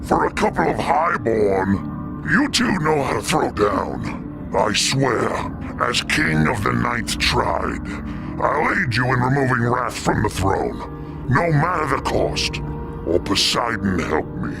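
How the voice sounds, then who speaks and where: Brash, gravelly shark warrior. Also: male voice, medium pitch, very animated, slow, exaggerated voice. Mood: respectful resolve. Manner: blunt, rough, street-tough. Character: brash, blunt humanoid shark warrior, would-be king. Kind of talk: video game character acting. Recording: under loud game music.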